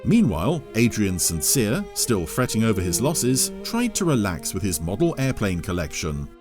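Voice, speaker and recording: Male narrator, scripted story delivery, highly animated intonation, middle pitch, music behind. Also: normal speed.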